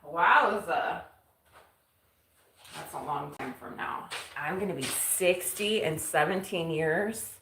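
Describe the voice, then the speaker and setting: Low-voiced chatty woman in her forties. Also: slow, gravelly, roomy sound, noisy background. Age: 40s. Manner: chatty, self-teasing, laughs often. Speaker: vlogger. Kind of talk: casual live-stream chat. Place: home kitchen.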